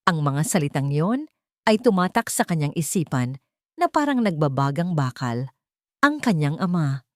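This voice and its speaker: Low-voiced woman narrating a dramatic story. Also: very animated, normal speed, no echo, studio quality.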